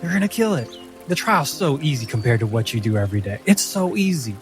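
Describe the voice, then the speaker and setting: A man acts out a young beastkin man with wolf ears in a tabletop fantasy. He talks in a casual, folksy, affectionate way at a middle pitch. Music plays behind the voice. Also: sing-song, fast. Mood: warm encouragement.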